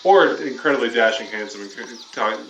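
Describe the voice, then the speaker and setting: A man's voice: mid-range voice, lively intonation, a little fast, a bit bright, dull audio. A young man streaming a game, chatting casually with a crude, teasing humor and laughing at his own jokes. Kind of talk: casual joking chat while gaming. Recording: roomy, distant, noisy home stream.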